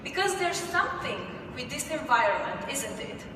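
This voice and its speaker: A woman's voice: high-pitched, very animated, normal speed, distant mic, background noise. Romanian-born woman speaking in echoey hall. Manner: reflective storytelling. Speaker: conference speaker. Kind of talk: personal talk on stage. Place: large conference hall.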